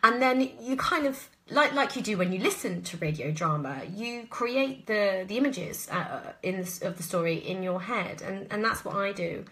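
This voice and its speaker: Bright-voiced English woman, chatting casually. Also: mid-range voice, moderate pace, hardly any pauses, rough recording. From southwest England. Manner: chatty, rambling, expressive. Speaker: actress answering listener questions. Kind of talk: casual live Q&A chat.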